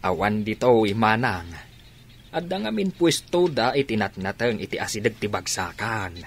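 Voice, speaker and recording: A man's voice: fairly low pitch, very animated, moderate pace. A man with an Ilocano accent acting a deferential, chatty neighbour in a radio drama. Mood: chatty, informative.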